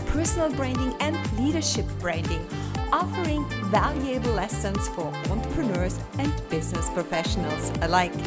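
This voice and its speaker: A woman hosting a podcast speaks in a formal, emphatic, list-like way at a middle pitch. Loud music plays behind her. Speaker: podcast host. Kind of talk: scripted intro and interview questions. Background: loud music under the intro.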